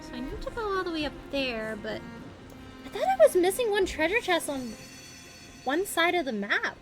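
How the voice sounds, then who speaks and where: Very high, lively woman streaming a game. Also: normal speed. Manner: playful, exclamatory, thinks aloud. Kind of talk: casual gameplay commentary, chatting to viewers. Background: music behind the voice.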